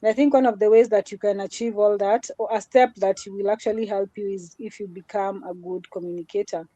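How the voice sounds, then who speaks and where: A woman's voice: medium pitch, steady tone, fairly quick, mellow, dry recording. A woman with a small voice, giving an earnest motivational talk as a guest speaker in a live session. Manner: earnest, repetitive, mixes Swahili into English.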